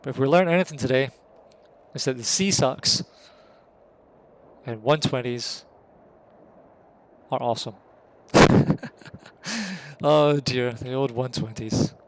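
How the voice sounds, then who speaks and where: A Scottish man giving live, casual, excitable commentary on a competitive flight game match, voice jumping up at the big moments. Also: medium pitch, slow, pauses often. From Scotland. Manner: excitable, rises sharply at kills; casual and jokey.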